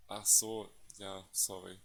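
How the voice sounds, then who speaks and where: A man's voice: low-pitched, flat delivery, slow, vocal fry. A man, a native German speaker, doing casual live commentary as a game streamer. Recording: loud game music behind voice. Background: game music.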